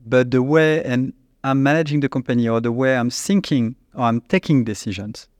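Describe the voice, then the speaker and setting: French-accented man with halting English. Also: mid-range voice, darkish tone, no echo. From France. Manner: halting, restarts often, searches for English words. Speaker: company CEO, guest. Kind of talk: conversational interview answers.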